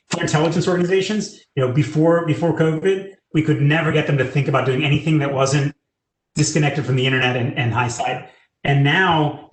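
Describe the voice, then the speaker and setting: A man's voice: medium pitch, echoey room, low-quality recording. A man with an American accent, answering interview questions in an earnest, fluent way with frequent "you know" fillers. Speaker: interviewee, tech company public sector lead. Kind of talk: conversational panel interview.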